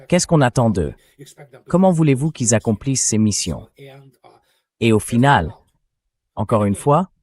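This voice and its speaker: A man's voice: mid-range voice, a little mellow. A man reading a translated voice-over of political and military commentary in a blunt, scornful, conversational way. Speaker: translated voice-over of an interview guest.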